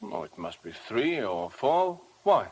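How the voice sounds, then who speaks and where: An actor playing a loyal, soothing gentleman friend in 1950s radio drama style. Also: medium pitch, very animated, measured pace, breathy edge, heavily acted. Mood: concerned, puzzled. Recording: faintly noisy old 1950 radio broadcast.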